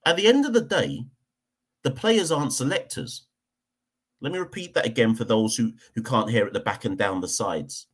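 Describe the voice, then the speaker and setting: A man's voice: medium pitch, expressive, normal speed, husky. A man from Jamaica, hosting a cricket podcast and giving a heated opinion rant, hammering points home by repeating them. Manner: emphatic, repeats points for stress.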